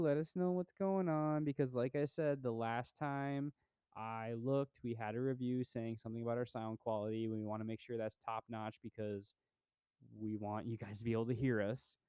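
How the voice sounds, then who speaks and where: A man's voice: mid-range voice, normal speed, clean tone, dry recording, lo-fi sound. A young man co-hosting a podcast, chatting casually about movies in a laid-back, rambling way with lots of fillers. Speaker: podcast co-host. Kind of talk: casual movie review chat.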